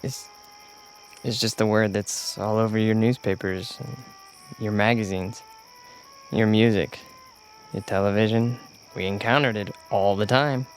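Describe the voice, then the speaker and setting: An actor playing a conscience-torn young male alien posing as human in a radio drama, earnest and halting. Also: on the low side, fairly even intonation, soft background music, poor audio. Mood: earnest, troubled.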